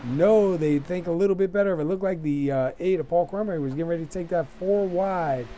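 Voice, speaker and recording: A man doing play-by-play commentary on a simulated dirt race, a little hoarse from being sick, with many fillers and bursts of excitement. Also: sits high, a little fast, a little hiss or hum. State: losing his voice after being sick. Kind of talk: sim racing commentary.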